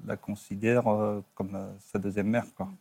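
Halting middle-aged man, plain-spoken. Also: lowish voice, little pitch movement, dark tone, no room sound. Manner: halting, plain-spoken, often tags phrases with a filler. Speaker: talk show guest. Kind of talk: casual personal recollection. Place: TV studio.

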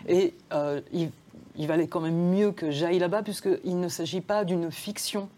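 A woman born in France, a crime novelist guest, answering interview questions thoughtfully and softly, pausing to search for words. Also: on the low side, steady tone, slight background noise. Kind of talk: reflective studio interview talk. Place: TV studio.

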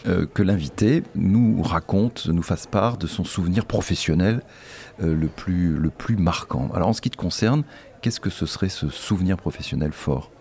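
A man's voice: deep voice, moderate pace, warm tone. A man hosting an interview, asking questions with hesitations and small stammers. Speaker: interviewer, host. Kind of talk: conversational interview.

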